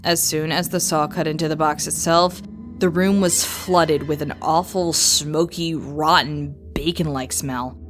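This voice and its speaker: A woman with a slightly low voice narrates a scripted creepypasta in the first person. Faint music plays behind her as she recounts the tale. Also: steady tone, unhurried. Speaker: horror story narrator.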